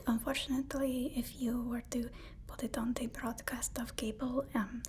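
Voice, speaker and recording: A woman's voice: on the high side, flat delivery, normal speed, darkish tone, slight background noise, natural voice. A woman playing a devoted, nervous supervillain's personal assistant in an ASMR roleplay, whispering breathily with a deferential, hesitant, soothing manner. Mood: nervous, apologetic.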